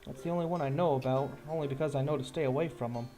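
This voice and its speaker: A man voicing a wary, guarded thief and informant in a tabletop game, speaking in a hesitant, folksy, measured way. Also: mid-range voice, steady tone, warm tone, faint music behind, natural voice. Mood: wary, musing. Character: wary low-life male thief and informant, casual and guarded.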